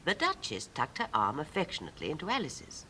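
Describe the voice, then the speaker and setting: A woman's voice: huge pitch swings, fast, sharp tone, exaggerated voice. A woman actor narrating a storybook in a poised 1950s style. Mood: measured. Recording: old 1950s record with rumbling noise.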